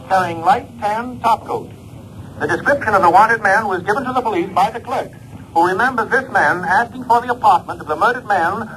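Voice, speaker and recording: A crisp, high-voiced newscaster. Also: male voice, fairly bright tone, low-quality recording. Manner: formal announcer delivery. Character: brisk male radio newscaster. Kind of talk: 1940s radio acting. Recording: hissy old radio broadcast.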